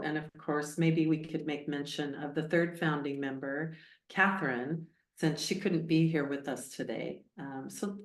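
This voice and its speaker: A woman hosting a podcast interview, asking her guests measured, warm questions. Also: low voice. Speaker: podcast host. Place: inside a converted train station building. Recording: echoey room.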